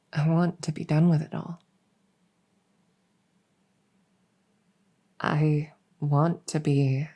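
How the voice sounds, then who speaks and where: A young princess, acted by a woman in an intimate audio drama, weary with duty and longing for freedom. Her voice is low for a woman, soft and breathy. She talks slowly and hesitantly, in a conversational way. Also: exaggerated voice. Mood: wistful, longing. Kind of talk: intimate audio roleplay acting.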